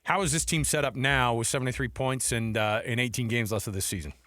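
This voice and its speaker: A man's voice: medium pitch, quick pace, pushed and bright, dry recording, clean studio sound. A man with an American accent hosting a sports radio show in a casual, conversational style.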